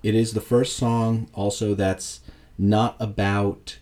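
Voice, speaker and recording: A man co-hosting a podcast, chatting casually and telling jokey, animated stories. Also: on the low side, slow pace. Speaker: podcast co-host. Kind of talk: casual chat.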